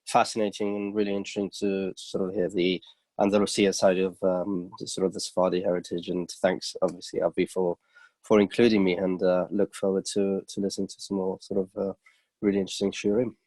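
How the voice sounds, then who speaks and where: A man's voice: low voice, level tone, brisk, mellow, no echo, rough recording. A man from Gibraltar introducing himself in an online class, easygoing and appreciative. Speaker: class participant. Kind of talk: casual introduction.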